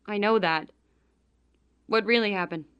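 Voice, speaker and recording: An actress playing an anxious, excitable widow entangled in crime in a noir audio drama. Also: female voice, medium pitch, a little slow, smooth, muffled recording, natural voice. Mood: pressing.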